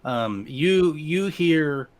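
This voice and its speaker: A man improvising narration as game master of a tabletop game, halting and often rephrasing mid-sentence. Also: a little high, animated.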